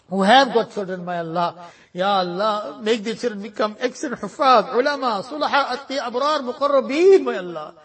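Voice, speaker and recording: A woman leading an emotional, pleading supplication on a radio program, often near tears, each phrase ending in a soft, falling refrain. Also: slightly rough, poor audio. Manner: tearful, pleading, repeated refrain of "my Allah"; voice rises high with emotion and drops soft at the end of each phrase. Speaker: radio dua leader.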